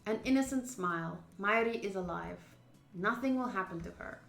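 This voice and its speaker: A woman's voice: mid-range voice, moderate pace, creaky, fairly bright tone, faint music behind. A young woman streamer reading a visual novel aloud and chatting informally and expressively with viewers. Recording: roomy echo.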